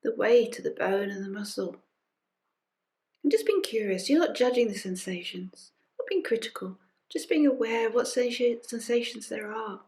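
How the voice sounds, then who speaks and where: A woman leading a guided meditation as a teacher, speaking gently and unhurriedly in short phrases with pauses and soft invitations. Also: on the high side, lively intonation. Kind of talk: guided body scan meditation. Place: recorded during an online session.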